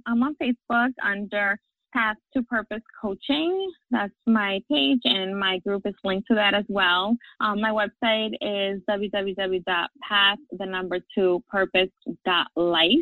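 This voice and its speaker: A woman from Puerto Rico, a life coach and podcast guest, answering questions in a relaxed, upbeat way and laughing often. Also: medium pitch, unhurried, dry recording. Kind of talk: casual interview answers. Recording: tinny phone-like line.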